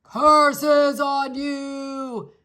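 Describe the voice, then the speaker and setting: A woman's voice: high voice, flat delivery, extremely slow, full character voice. A woman voicing a furious blinded male giant Cyclops, cursing and menacing in a children's storybook reading. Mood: furious, vengeful. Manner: loud, menacing declaiming. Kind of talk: children's storybook read-aloud.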